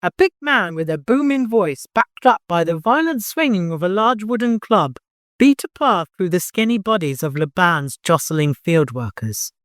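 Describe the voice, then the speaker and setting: A man narrating fiction as an audiobook, in an expressive, dramatic storytelling manner. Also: high voice, normal speed, no echo, clean studio sound.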